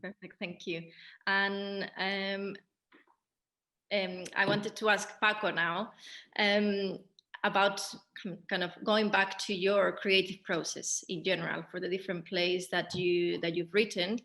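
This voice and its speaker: A woman hosting a theatre Q&A, asking questions conversationally in halting phrases. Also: mid-range voice, little pitch movement, a bit bright. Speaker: moderator of a theatre Q&A. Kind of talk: conversational interviewing.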